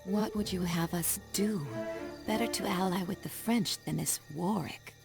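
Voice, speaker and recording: Tender, wary young lover. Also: female voice, on the low side, measured pace. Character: tender, wary young Iroquois woman in love. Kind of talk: dramatic video game cutscene acting. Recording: clear music behind the voice.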